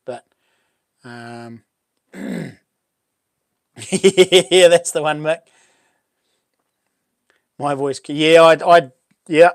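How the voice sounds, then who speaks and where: A middle-aged man with a New Zealand accent and a boomy voice, hosting a relaxed livestream chat, rambling with many ums and restarts as he reads out viewer comments. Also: no room sound, clean studio sound. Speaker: livestream host. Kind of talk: casual live chat reading comments.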